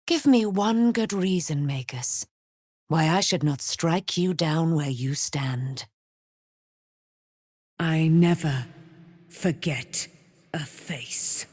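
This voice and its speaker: An actress playing a proud, wrathful immortal warrior, daughter of a moon goddess, declaiming in grand archaic speech for a fantasy game. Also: female voice, low-pitched, slow, pauses often, darkish tone, slightly rough, dry recording, clean studio sound, exaggerated voice. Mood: cold menace. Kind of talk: theatrical fantasy game voice acting.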